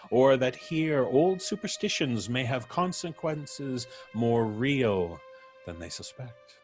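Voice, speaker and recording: A man playing an ominous horror show narrator, speaking in a dramatic, measured and grandiose way over a scripted introduction. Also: medium pitch.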